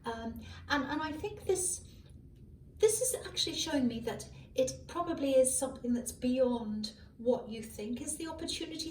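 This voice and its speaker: A hoarse woman giving a casual tarot reading, musing aloud with many ums and self-corrections, recorded on a faulty microphone. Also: a little high, a little mellow, slight background noise. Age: adult. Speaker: tarot reader. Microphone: malfunctioning microphone at a distance. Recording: echoey room, far from a faulty mic. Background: faint passing cars.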